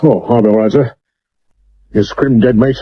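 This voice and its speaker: A man acting a sober Victorian London police inspector with a British accent and a measured, deferential way of explaining, in a 1970s radio drama. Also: mid-range voice, slightly rough. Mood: respectful, relieved. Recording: dull medium-wave radio recording.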